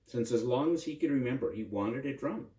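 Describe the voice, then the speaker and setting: Male storyteller, children's storytime, lively swooping intonation, soft mellow tone, roomy distant sound. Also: medium pitch, brisk. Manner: animated, simple and expressive, with dramatic repetition for children. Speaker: priest and storyteller. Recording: roomy echo, distant microphone.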